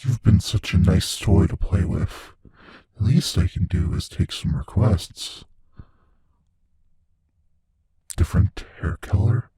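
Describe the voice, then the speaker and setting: A very husky, breathy monster, acted by a man speaks low and close in an ASMR roleplay. He is gleefully sadistic, taunting the listener slowly and savoring every cruel word. The sound is dry and intimate. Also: warm tone, no room sound, full character voice. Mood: mocking, playful menace. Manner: slow, taunting, menacing, savoring words.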